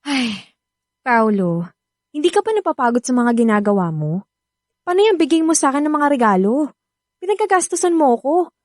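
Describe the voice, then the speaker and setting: A woman's voice: high voice, very animated, normal speed, long pauses, no echo, studio quality. An actress playing an aloof, unimpressed young woman being courted in a Filipino radio drama, curt and dismissive, with a Filipino accent. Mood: weary, questioning.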